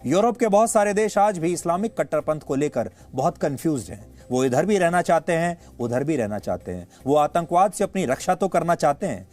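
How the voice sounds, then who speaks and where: A male news anchor with an Indian accent delivering opinionated commentary in a deliberate, emphatic way with rhetorical repetition. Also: a little high, darkish tone. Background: background music.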